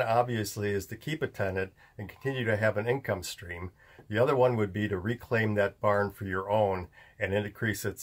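An older man hosting a homestead vlog, explaining barn building in a plainspoken, folksy way on a lapel mic. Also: lowish voice. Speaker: homestead channel host. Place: indoor room, later inside a metal pole barn.

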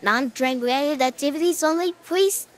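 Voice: high-pitched, moderate pace, heavy background noise, natural voice. A cloned voice playing a teasing cartoon friend in a fan-made audio story.

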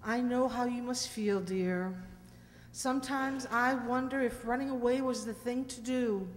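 A woman's voice: fairly high pitch, fairly even intonation, unhurried, slight background noise, close to an everyday voice. A woman playing a worried, supportive farm wife in an old-time radio drama, earnest and pleading, on a hall stage. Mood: concerned. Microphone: stand microphone. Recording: echoey hall stage recording.

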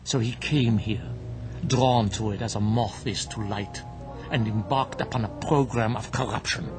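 An actor playing a grave old monk in a radio drama, speaking with solemn, deliberate, preacherly certainty. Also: male voice, on the low side, lightly acted. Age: older. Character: grave, zealous old monk, unshakably certain of his faith. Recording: music bed behind the voice.

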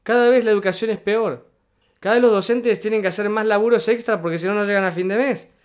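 Indignant high-voiced Argentine man. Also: fairly even intonation, fast, clean tone. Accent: Argentine Spanish. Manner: indignant, emphatic, repeating points. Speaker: political commentator. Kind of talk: reaction video commentary.

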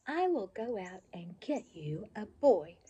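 A woman's voice: mid-range voice, sing-song, takes it slowly, dark tone, slightly rough, full character voice. A woman voicing a patient mother dog who coaxes and questions her pup. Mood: coaxing. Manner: coaxing, questioning.

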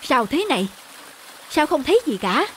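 Grumbling, stubborn peasant husband. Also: male voice, extremely high pitch, sing-song, moderate pace, background noise. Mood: shaken, dejected. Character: lazy, stubborn, gold-hungry young peasant husband. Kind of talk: animated folk tale acting.

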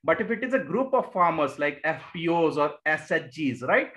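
A man's voice: on the high side, sing-song, moderate pace, fairly bright tone. A man with an Indian English accent giving a recorded lecture over slides, explaining step by step, repeating key terms and answering his own questions. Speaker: exam coaching teacher. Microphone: voice-over on annotated slides.

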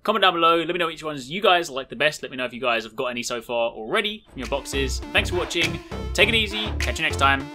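Fast, very bright-voiced man hosting gaming videos. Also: fairly high pitch, lively intonation, soft background music. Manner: chatty, informal. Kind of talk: enthusiastic showcase commentary.

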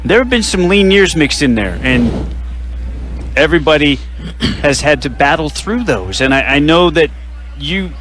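A man hosting a radio postgame broadcast, running through final stats and sponsor reads in a measured way. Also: a little high, expressive, a bit bright, soft background music. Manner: stat-heavy, measured delivery with sponsor reads. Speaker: radio play-by-play announcer.

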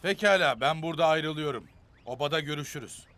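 An actor playing a kindly, encouraging leader of a nomad camp in an animated children's series. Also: male voice, on the high side, brisk, lightly acted. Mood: steady, instructing.

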